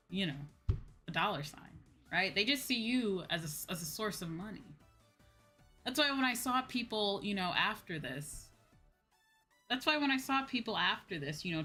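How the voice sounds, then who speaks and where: A woman's voice: medium pitch, fairly bright tone. A woman streamer chatting casually and candidly, with emphatic repetition and informal, sweary phrasing. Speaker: VTuber streamer hosting a chat stream. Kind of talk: casual livestream chat. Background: background music.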